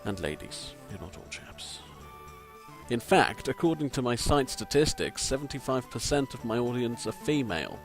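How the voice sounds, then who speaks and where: A man with a croaky voice, giving rambling, self-deprecating gameplay commentary from a home mic and swearing casually. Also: mid-range voice, big pitch swings, normal speed, soft background music. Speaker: let's play commentator. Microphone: home desk microphone.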